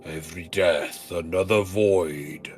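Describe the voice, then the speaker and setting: Great game dragon, male performer: low, grave, formal, measured, sorrowful nobility. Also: a little mellow, soft background music. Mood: grieving, grave. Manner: solemn, formal, measured storytelling delivery. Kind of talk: fantasy game dialogue read aloud.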